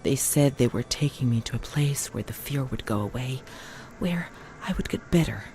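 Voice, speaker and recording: A woman playing a fragile, haunted young former asylum patient in a narrative game, delivering a slow, halting, confessional monologue in a breathy voice that often sinks to a whisper. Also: rumbling low, lively intonation, mellow, a little hiss or hum. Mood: traumatized, anguished. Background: music.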